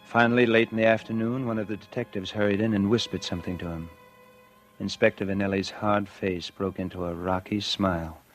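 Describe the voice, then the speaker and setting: A man's voice: lowish voice, fairly even intonation, a little fast, close to an everyday voice, poor audio. An actor playing a guilty, scheming man who confides his crime in 1940s radio suspense style. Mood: smug, confiding. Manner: confessional first-person narration. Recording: vintage 1940s radio with loud music.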